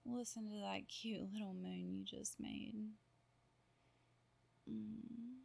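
A woman speaking in a soft, cooing half-whisper with drawn-out murmurs, in an intimate audio roleplay. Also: mid-range voice, steady tone, vocal fry, husky, soft background music. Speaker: roleplay performer.

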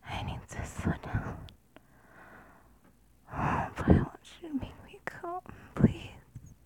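A woman speaking in a breathy whisper in an intimate adult audio style, hushed and pleading, with drawn-out delivery. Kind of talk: intimate whispered erotic audio, addressed to a partner.

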